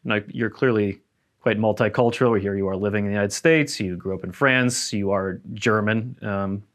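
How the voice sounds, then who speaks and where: A man's voice: on the low side, normal speed, a bit bright. A man interviewing a guest in a historic house, asking plain, methodical questions.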